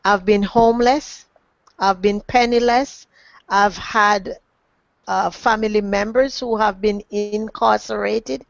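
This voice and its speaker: Slow, creaky Jamaican woman. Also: mid-range voice, fairly even intonation, no room sound. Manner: deliberate, emphatic phrasing. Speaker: guest, transformational coach. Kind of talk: motivational personal testimony, formal and earnest. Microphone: online webinar connection. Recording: dry, dull lo-fi webinar audio.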